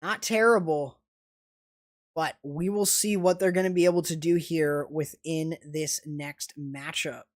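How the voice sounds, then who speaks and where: A young man commenting live on a video game match, excitable and quick to burst into exclamations, his voice strained and starting to go hoarse. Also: on the high side. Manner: excitable, rising to sudden exclamations. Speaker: esports commentator and streamer.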